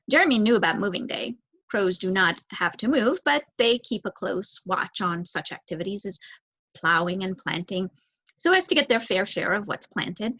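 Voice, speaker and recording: A young adult woman reading a children's book aloud as an expressive narrator. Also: medium pitch, breathy edge, highs cut off. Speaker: story reader.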